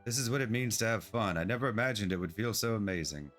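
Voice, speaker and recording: A man streaming a game, reading its dialogue and on-screen text aloud in a plain, unhurried way. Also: on the low side, fairly bright tone, faint music behind.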